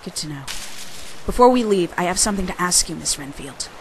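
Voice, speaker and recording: A woman playing a wry, brisk government agent in charge in a fan audio drama. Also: lowish voice, animated, slight background noise. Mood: businesslike.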